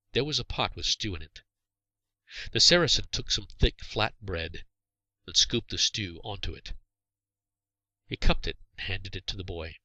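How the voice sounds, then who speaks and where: Guy narrating a storybook, measured and dramatic, really crisp forceful tone, dry close sound. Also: deep voice, no room sound, poor audio. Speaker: author reading own story.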